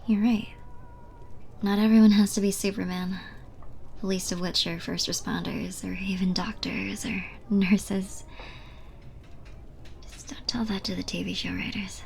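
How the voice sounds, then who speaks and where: Flirty, world-weary female bartender played by a woman for ASMR roleplay; unhurried, breathy half-whisper with a touch of fry. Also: mid-range voice, little pitch movement, a little hiss or hum. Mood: sympathetic.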